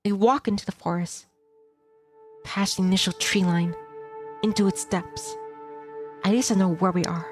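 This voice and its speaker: A woman streamer reading a visual novel aloud in dramatic first person, tense and expressive, in short clipped phrases. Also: medium pitch, moderate pace, pauses often. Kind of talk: dramatic first-person narration of a game script. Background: game soundtrack music.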